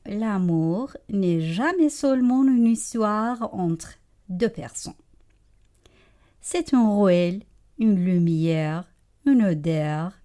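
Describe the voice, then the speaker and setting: Slow, expressive woman radio host. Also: fairly high pitch. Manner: slow, expressive, poetic delivery. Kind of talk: scripted radio introduction. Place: radio studio.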